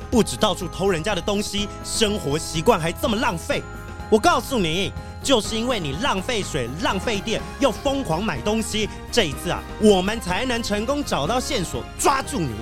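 A woman's voice: medium pitch, very animated, normal speed, over music, natural voice. A woman playing a dramatic, earnest wolfdog police chief with an emphatic, scolding manner in a children's audio drama. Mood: stern scolding.

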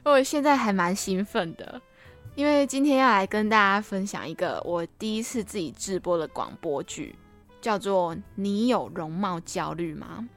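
A woman's voice: mid-range voice, expressive, moderate pace, fairly bright tone, soft background music. A young adult woman, chatting casually and playfully as a podcast host, teasing herself as she goes.

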